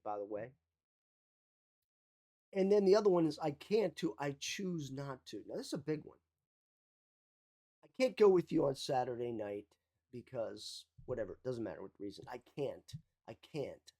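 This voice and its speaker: A mindset coach giving an emphatic motivational talk, repeating key phrases, with a slight cough. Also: male voice, medium pitch, dark tone, slightly rough.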